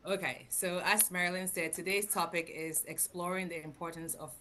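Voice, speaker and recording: A woman in her forties from Ghana, a guest presenter speaking over a video call, lively and laughing often between fillers. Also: fairly low pitch, normal speed, a little hiss or hum.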